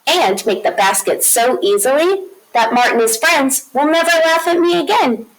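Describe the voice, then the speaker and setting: A woman's voice: high voice, expressive, normal speed, low-quality recording. A woman voicing a small, boastful boy who longs to be bigger, in an earnest, emphatic storybook reading. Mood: earnest, longing.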